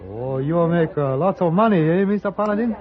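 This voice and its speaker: Muffled old radio sound carries a man playing an obliging hotel servant with a high, swooping voice. He is eager to please and speaks in halting broken English, in the style of a vintage western drama. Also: lively intonation, natural voice. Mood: eager, deferential.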